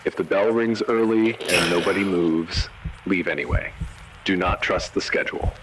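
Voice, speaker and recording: Deep grave announcer guy man acting it, eerie horror warnings, bit of noise behind. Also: lightly acted. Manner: measured, ominous, short solemn sentences. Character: grave male emergency broadcast announcer issuing eerie warnings. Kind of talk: analog horror scripted narration.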